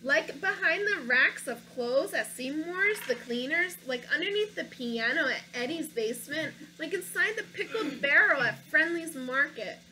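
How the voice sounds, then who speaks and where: A woman teacher reading a picture book aloud to children, with an expressive storytelling delivery, stopping to ask her young listeners questions. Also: high voice, slight background noise, rough recording.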